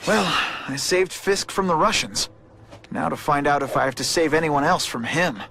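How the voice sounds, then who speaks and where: An actor playing a quippy, slightly awkward young American superhero in a video game. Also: male voice, fairly high pitch, animated, normal speed, vocal fry, pushed and bright, a little hiss or hum, heavily acted. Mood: wry. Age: young adult. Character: wisecracking, nervy young superhero and newspaper photographer.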